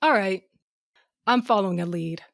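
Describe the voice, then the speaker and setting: A woman's voice: mid-range voice, moderate pace, creaky, no room sound, clean studio sound, close to an everyday voice. A woman playing a blunt, sardonic female starship captain who narrates her own science fiction audio drama in slangy language. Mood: blunt, determined. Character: tough, sardonic, foul-mouthed female ship captain with a cybernetic eye. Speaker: narrator and lead character. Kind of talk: first-person audio drama narration and dialogue.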